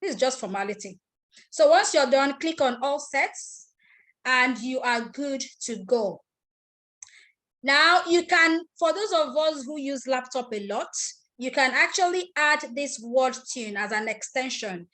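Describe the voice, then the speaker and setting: Woman, Nigerian accent, native Igbo speaker, fairly high voice, online class instructor, step-by-step explaining, video call mic. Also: expressive, unhurried, sharp tone. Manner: step-by-step, repeats herself, asks attendees questions. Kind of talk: live screen-share tutorial.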